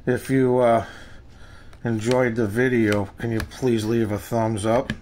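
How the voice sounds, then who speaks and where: An older man with an American accent gives a casual, unscripted product review in a halting, plain-spoken way. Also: medium pitch, little pitch movement, darkish tone. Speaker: gadget reviewer. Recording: noisy amateur home recording.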